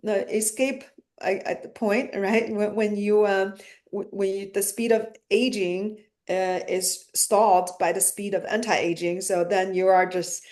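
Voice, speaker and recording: A woman's voice: mid-range voice, steady tone, unhurried, a little mellow. A woman physician as a podcast guest, talking casually with many fillers and restarts. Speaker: guest, stem cell physician. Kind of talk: casual interview chat.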